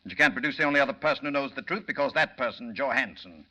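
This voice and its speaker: A cold criminal mastermind from an old radio serial. He speaks in a medium-pitched, sharp voice with formal, condescending precision and a smug air. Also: expressive, racing. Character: smug, cold male mastermind of a confidence gang. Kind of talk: 1940s radio villain acting.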